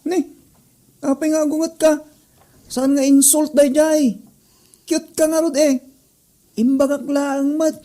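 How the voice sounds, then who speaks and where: A woman's voice: high voice, level tone, a little slow, long pauses, dark tone. A woman with an Ilocano accent playing a cheeky, mischievous schoolboy who teases everyone in a comedy drama. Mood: teasing. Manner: teasing, mischievous. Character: cheeky, teasing troublemaker schoolboy Joel.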